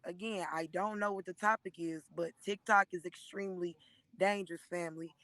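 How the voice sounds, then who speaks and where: A hoarse woman in her thirties gives an emotional, preachy testimony on a live stream, speaking into a phone and often calling listeners by name. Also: on the low side, fairly even intonation, brisk, fairly bright tone, dry recording. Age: 30s. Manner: earnest and preachy, repeatedly addressing listeners by name.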